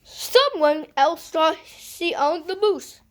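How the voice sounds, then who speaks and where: A woman reading a children's picture book aloud in a deliberate way, pausing between short phrases. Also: very high-pitched, lively intonation. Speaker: storybook reader.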